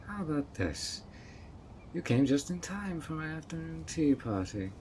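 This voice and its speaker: A man's voice: fairly high pitch, sing-song, a little slow, darkish tone, slight background noise. A man voicing a sweet but obsessive male fairy who coaxes a guest in an audio roleplay, with a honeyed, playfully sly manner. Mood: sweetly scheming. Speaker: fairy host of a tea party.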